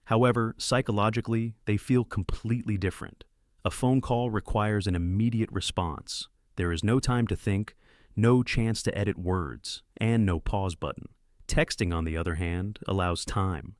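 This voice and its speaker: A man reading scripted explainer narration in a measured, deliberate way. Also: on the low side, dry recording, studio quality.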